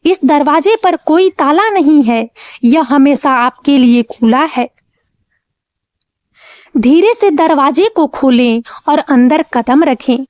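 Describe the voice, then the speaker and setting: A woman narrating a scripted guided meditation, with measured, soothing instructions. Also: sits high, expressive, darkish tone, dry recording, studio quality.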